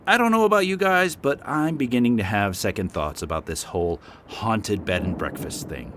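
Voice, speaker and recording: A man's voice: on the low side, lively intonation, moderate pace, background noise, close to an everyday voice. An actor playing a snobbish, impatient, sarcastic male tourist in an audio horror drama, with a sneering delivery. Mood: sarcastic, jaded.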